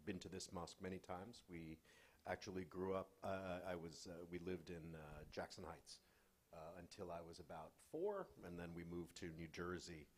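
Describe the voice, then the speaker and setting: Male panelist, American, low-pitched, halting and self-deprecating, speaking off the cuff. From New York area, United States. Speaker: panelist, finance professional. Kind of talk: unscripted personal introduction on a panel.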